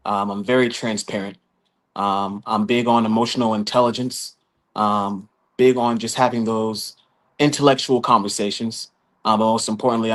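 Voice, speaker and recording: Male contestant from Los Angeles, slightly low voice, earnest, halting delivery. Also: a bit bright. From Los Angeles, California. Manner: earnest, many um fillers. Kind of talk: casual live chat.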